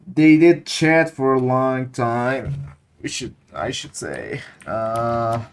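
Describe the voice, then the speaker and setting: Slow-talking game streamer, halting English speaker. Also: medium pitch, lively intonation, clear, resonant tone. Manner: halting, searching for words. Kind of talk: casual livestream chat over gameplay.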